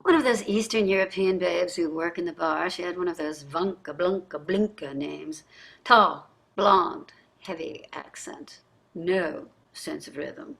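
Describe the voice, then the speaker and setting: An actress in a staged play reading, playing a wealthy older wife who is defensive, wry and restless. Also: female voice, on the low side, a little slow, vocal fry, a bit bright, slightly rough, full character voice. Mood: prickly. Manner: emphatic, prickly, wry.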